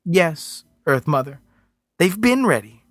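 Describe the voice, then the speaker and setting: Man doing a scared whimpery guy begging his goddess, high and jumpy. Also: big pitch swings, slow, fairly bright tone, slightly rough, no room sound, clean studio sound, heavily acted. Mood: pleading. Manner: pleading, whimpering, raised pitch. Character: frightened, devoted naked man in a forest.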